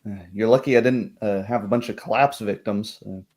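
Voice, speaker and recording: Man voicing a low-voiced, drily polite temple priestess. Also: fairly even intonation, a little fast, a little mellow, natural voice. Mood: matter-of-fact. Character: female temple cleric, hospitable but drily mercenary.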